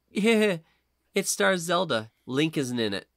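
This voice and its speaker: A man hosting a casual podcast chat, talking in an animated, informal way. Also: a little high, slow pace, gravelly, no room sound, studio quality. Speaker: podcast host.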